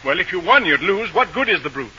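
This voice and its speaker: A dull old radio recording with a blustering village butcher. His voice is high and cuttingly bright. He is gruff and imperious, laying down the law. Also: big pitch swings, fast, a little hiss or hum, heavily acted. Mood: grumbling. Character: stern, blustering village butcher and family patriarch. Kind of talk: 1940s radio acting.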